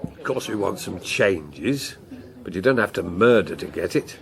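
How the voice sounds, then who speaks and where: A man's voice: low voice, animated, a little slow, vocal fry, heavily acted. An actor playing a blunt, combative political party man in a radio drama.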